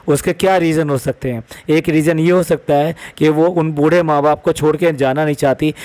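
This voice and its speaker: A man's voice: on the high side, a little mellow. A man giving opinionated reaction commentary in an emphatic way, repeating phrases and posing rhetorical questions. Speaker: commentary vlogger.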